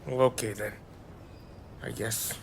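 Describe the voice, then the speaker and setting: A man's voice: steady tone, measured pace, creaky. An actor playing a very drunk man, slurring and rambling in a silly, petulant way. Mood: resigned. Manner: rambling, sing-song, petulant. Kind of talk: video game cutscene acting. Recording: heavy noise, engine-like rumble behind.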